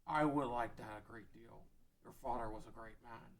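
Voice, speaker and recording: A gentle chieftain of rock-bodied folk acted by a man. He is grave and unsure of his own strength, in a mid-pitched voice for a fantasy reading. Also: normal speed, natural voice. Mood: solemn. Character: gentle, self-doubting male rock-bodied Goron chief. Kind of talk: fan table read of a fantasy script.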